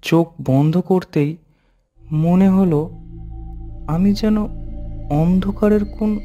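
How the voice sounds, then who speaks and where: A man voicing and narrating as a young man returning to his village in a horror cartoon, swinging between eager and hushed tones. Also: high voice, takes it slowly, highs cut off, faint music behind. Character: young man Ajit, returning home, anxious.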